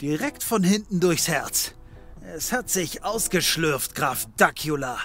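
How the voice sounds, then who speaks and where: Lively, flippant private detective. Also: male voice, fairly high pitch, slow, soft background music, exaggerated voice. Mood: relieved, flippant. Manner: wisecracking, stammering when flustered. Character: flippant, excitable male occult private detective. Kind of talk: German comedy audio drama acting.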